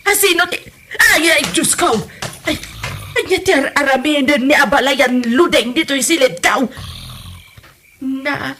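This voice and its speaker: A woman playing an indignant, sharp-tongued Filipino mother-in-law in a comedy audio drama, exclaiming and stammering with an Ilocano accent. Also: high-pitched, measured pace, pauses often. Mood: shocked, scolding. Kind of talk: hobby comedy radio drama acting. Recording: noticeable background noise.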